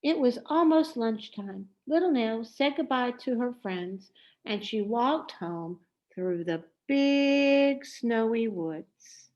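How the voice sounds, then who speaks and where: Animated, high-pitched woman reading a children's story. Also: dull audio. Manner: deliberate, expressive. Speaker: storybook reader. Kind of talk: expressive children's story narration.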